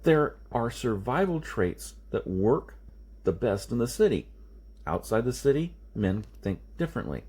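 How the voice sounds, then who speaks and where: A man's voice: medium pitch, sing-song, unhurried, a little mellow, husky. A man voicing an insistent, reasoning science fiction hero who lectures emphatically in audiobook dialogue. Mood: explanatory. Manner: emphatic, explanatory.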